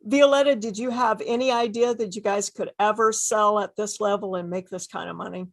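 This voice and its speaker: A woman's voice: medium pitch, fairly even intonation, fairly quick, vocal fry. Female interview host, mid-range voice, enthusiastic and effusive. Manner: effusive, repeats phrases for emphasis. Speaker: host interviewing successful online sellers.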